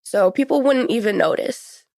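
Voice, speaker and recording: A woman's voice: mid-range voice, expressive, moderate pace, a little mellow, dry recording, clean studio sound. A woman in her late teens giving a conversational personal account as a podcast guest.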